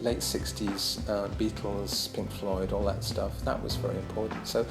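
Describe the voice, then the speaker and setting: Man, lowish voice, casual musing lecture, hesitant with ums, echoey room, music behind. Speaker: musician lecturing on his own album.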